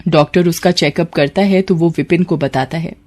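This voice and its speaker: A woman's voice: fairly low pitch, steady tone, moderate pace, darkish tone. A woman narrating a scripted story as a storyteller.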